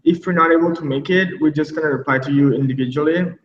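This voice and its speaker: A man hosting a student panel, speaking in a halting way with restarts. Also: fairly high pitch, slight background noise. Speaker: host. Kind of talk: moderating a video call panel. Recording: roomy, distant, dull mic sound.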